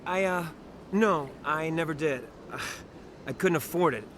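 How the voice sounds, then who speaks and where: An actor playing a young, eager army private in a video game, awkward and easily flustered. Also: male voice, fairly high pitch, slow pace, a bit bright, slight background noise. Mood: flustered, sheepish. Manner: stammering, flustered. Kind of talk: video game dialogue acting.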